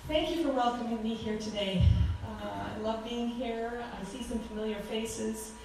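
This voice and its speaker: A woman in her forties with a loud voice, giving a fervent talk as a guest speaker at a church, with frequent ums. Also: fairly high pitch, fairly even intonation, normal speed, background noise. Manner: earnest, fervent, with many ums. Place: church hall. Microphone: handheld microphone. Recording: echoey hall from far off.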